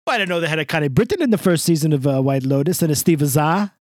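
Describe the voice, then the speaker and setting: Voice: mid-range voice, animated, quick pace, a little mellow, dry recording, studio quality, lightly acted. Someone doing a gushing, swooping old man. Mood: chatty. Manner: broken English, theatrical swoops up and down, bursts of laughter. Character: older male Italian guest Mister Del Rossi, boastful, gushing, scatterbrained. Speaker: recurring character guest. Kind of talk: improvised comedy bit.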